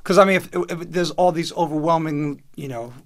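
Man, higher gravelly voice, laid-back podcast co-host. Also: normal speed. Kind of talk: casual interview.

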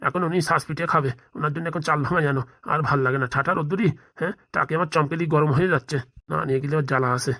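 A woman's voice: low-pitched, rapid-fire, fairly bright tone, no echo, clean studio sound. Woman voicing a grumpy suspicious old grandpa. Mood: grumbling. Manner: grumbling, complaining, distrustful. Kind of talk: comic cartoon voice acting.

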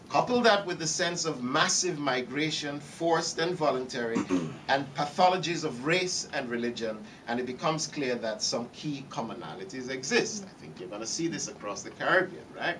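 A man's voice: on the high side, a bit bright. Brief: middle-aged male keynote speaker, partly read academic lecture, podium mic a bit distant, faint hum in the hall. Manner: gestures broadly, repeats words while reaching for phrasing. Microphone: podium microphone. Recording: slightly distant, faint room ambience.

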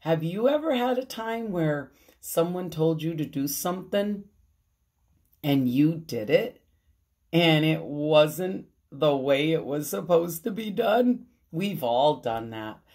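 A middle-aged woman reading a bedtime story to children, expressively, with theatrical drawn-out emphasis and dramatic pauses. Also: deep voice, animated, a little mellow. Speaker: pastor reading children's stories. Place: small room at home, indoors. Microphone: phone at arm's length.

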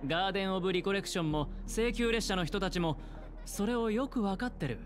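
A man playing a suave, flamboyant young gambler in an anime game, speaking in a smooth, theatrical, self-assured way. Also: high voice, animated, a little fast, noisy background. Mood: confident. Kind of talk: Japanese anime game voice acting.

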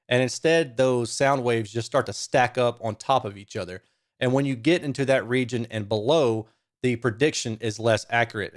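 A man, presenting a technical explainer in a measured, informal way at a close microphone. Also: medium pitch. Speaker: audio reviewer and presenter. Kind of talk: technical explainer, semi-scripted. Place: small home studio room. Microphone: microphone on a stand close in front.

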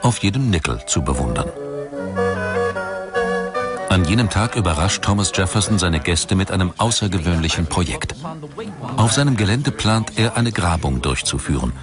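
A man narrating a scripted documentary in a measured, storytelling delivery. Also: deep voice, expressive. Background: music.

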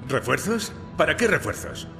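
An actor playing a gruff, contemptuous cop with a gravelly voice in a video game dub. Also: male voice, a little high, very animated, moderate pace. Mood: scornful. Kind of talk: video game cutscene acting in Spanish dub. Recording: long reverb, music behind.

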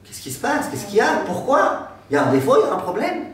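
A man in his thirties, a rabbi giving a lively storytelling lesson and joking with his class in a classroom. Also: high-pitched, fast. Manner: animated, gestures broadly, jokes with the class. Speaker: rabbi teaching a Torah class. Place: classroom with a decorated board, indoors. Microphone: far camera. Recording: echoey room from far off.

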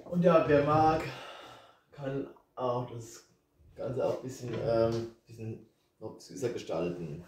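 A man with a regional German dialect chats his way through a home cooking demo, easygoing and a little rambling. His voice is mellow and sits away from the camera in a roomy kitchen. Faint background noise hums underneath. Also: medium pitch, slow, far from the mic. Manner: relaxed, rambling, with hesitations and chuckles. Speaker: home cook vlogger. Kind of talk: casual cooking tutorial. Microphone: camera set on the counter, speaker off to the side.

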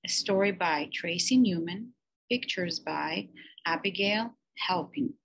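A teacher reading a children's picture book aloud, slow and expressive with emphatic pauses. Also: female voice, fairly low pitch, creaky, breathy edge, dull audio.

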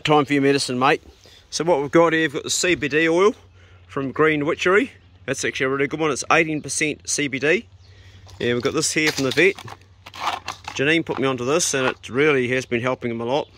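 A man's voice: mid-range voice, brisk. A middle-aged man with a New Zealand accent, casually explaining his homestead jobs and coaxing his dog outdoors, recorded on a body-worn action camera. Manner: relaxed, practical, coaxing the dog with "good boy" and "mate". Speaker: homesteading vlogger. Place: outdoors on a rural homestead and in bush.

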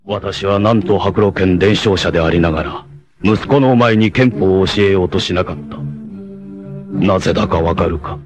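An actor playing a noble, fatherly blind rebel warrior in an anime, with a solemn, formal, measured delivery. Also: sits low, over music, close to an everyday voice. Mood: solemn, tender. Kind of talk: dramatic anime acting.

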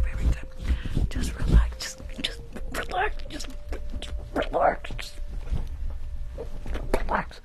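A young woman with a New York accent whispers her way through an ASMR roleplay. Her voice sits fairly high and breaks into heavy creak. She is sassy and curt, playing a dismissive school nurse close to the microphone. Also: rough recording. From Bronx, New York. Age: young adult. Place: small room at home. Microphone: close desk microphone with fluffy cover.

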